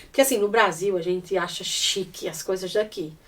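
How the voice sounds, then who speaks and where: A Brazilian woman in her thirties, a guest in a relaxed chat, talking animatedly and acting out little quotes. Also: mid-range voice, moderate pace. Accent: Brazilian Portuguese. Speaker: podcast guest. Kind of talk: casual chat. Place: indoors in Ireland. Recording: voice a little distant from the mic.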